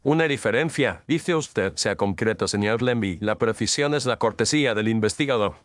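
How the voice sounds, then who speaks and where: A man performs an incisive Victorian detective in an audio mystery drama. The voice sits low and is recorded very dry, with a sententious, ironic way of putting questions. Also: moderate pace, fairly bright tone, dry recording, natural voice. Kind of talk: scripted audio drama dialogue.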